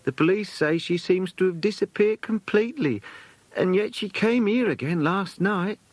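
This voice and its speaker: Man putting on a high, fussy British housekeeper lady. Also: lively intonation, normal speed, dry recording. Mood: baffled. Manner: fussy, emphatic, exclaiming. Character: fussy, kindly, indignant female companion to an old lady. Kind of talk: children's audiobook character voice.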